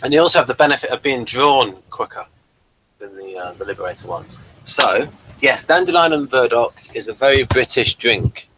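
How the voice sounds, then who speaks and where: A man hosting a TV review show in casual, unscripted chat, with a rambling, halting delivery. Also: medium pitch, expressive, bright, forceful tone, slight background noise, poor audio.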